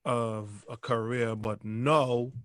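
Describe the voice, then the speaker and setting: A man's voice: mid-range voice, little pitch movement, takes it slowly, a bit bright, no echo, studio quality. A man co-hosting an interview, asking direct, curious questions. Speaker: co-host. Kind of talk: interview chat.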